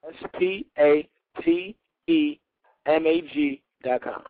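A man's voice: fairly high pitch, slow. Hyped male radio host on a tinny line. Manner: loose, hype slang, calls out shout-outs. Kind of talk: casual call-in radio chat.

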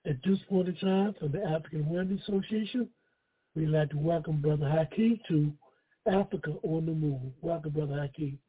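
Hoarse male radio host on a phone line. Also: a little high. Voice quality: husky. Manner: deliberate, phrase-by-phrase emphasis with repetition. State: slightly hoarse. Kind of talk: measured political talk show introduction. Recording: narrow, phone-line sound.

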